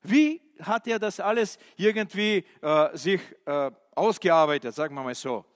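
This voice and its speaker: A male preacher, a non-native German speaker, giving an animated sermon with many questions to his listeners and hesitant fillers. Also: high-pitched, moderate pace. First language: not German. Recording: reverberant hall.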